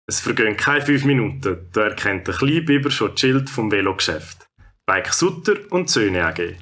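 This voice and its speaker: A man's voice: on the low side, animated, moderate pace, a bit bright, a little hiss or hum. A man with a Swiss German accent narrating a scripted children's story.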